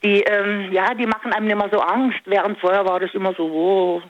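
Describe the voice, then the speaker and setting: A caller telling a story to a radio show over the phone, speaking haltingly. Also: mid-range voice, little pitch movement, lo-fi sound. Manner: hesitant, halting.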